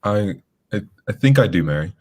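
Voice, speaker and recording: Radio mystery lead: composed, matter-of-fact detective, played by a man, deep voice, fast clipped delivery. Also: expressive, a little mellow. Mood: shrewd, probing. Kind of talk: radio drama acting.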